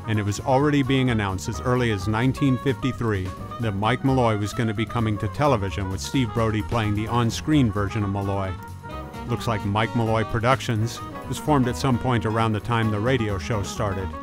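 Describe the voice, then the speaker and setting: A man's voice: on the low side, steady tone, fairly quick. A man reading scripted documentary narration in informal, conversational wording. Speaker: narrator and presenter. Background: guitar music.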